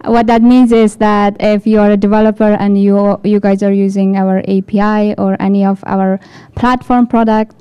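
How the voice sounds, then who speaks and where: A woman's voice: mid-range voice, level tone, moderate pace, dark tone, smooth, lo-fi sound. A woman from Afghanistan with an Afghan accent, giving a chatty tech conference talk full of rhetorical questions. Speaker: developer support engineer giving a talk. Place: event hall with an audience.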